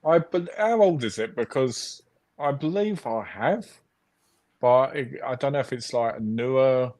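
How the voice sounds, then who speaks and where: A man's voice: medium pitch, measured pace, a little mellow. A man in a casual chat who mostly answers with brief, agreeable replies. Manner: easygoing, short agreeing replies.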